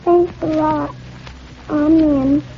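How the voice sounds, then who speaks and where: A woman's voice: sits high, fairly even intonation, very slow, heavily acted. A woman playing a sweet, innocent little girl with a small childlike voice in a 1940s radio drama. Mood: earnest, tender. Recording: muffled noisy 1940s radio recording.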